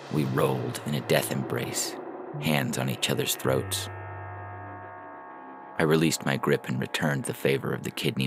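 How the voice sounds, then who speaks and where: A man reads a first-person story in an extremely low voice with heavy creak. Music plays clearly behind his scripted narration. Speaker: fiction podcast reader and announcer.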